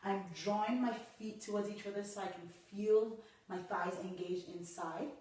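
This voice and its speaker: A hoarse woman with a cold, teaching a live yoga lesson and talking viewers through a pose as she demonstrates it. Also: medium pitch, fairly even intonation, moderate pace, darkish tone. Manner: talks through poses while demonstrating, stops to greet viewers. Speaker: yoga instructor. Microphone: camera set back across the room. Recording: echoey room, voice far from the mic.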